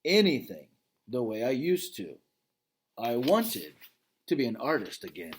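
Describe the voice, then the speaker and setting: A man reading a children's novel aloud as its gentle, thoughtful male gorilla narrator, in a calm, reflective way. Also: medium pitch, measured pace, slight background noise, voice pushed down. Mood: wistful.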